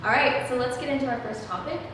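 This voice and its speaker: A woman's voice: high-pitched, fast. Earnest young woman host in an echoey room. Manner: earnest, many "um" fillers. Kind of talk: casual discussion, leading a prayer. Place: church room, small group at a table. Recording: echoey room, heard from a distance, background noise.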